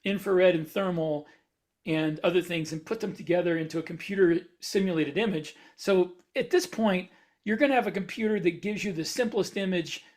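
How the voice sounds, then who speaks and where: A man's voice: high voice, normal speed, a little mellow. A man speaking as an author on a casual podcast panel, explaining things while searching for the right words.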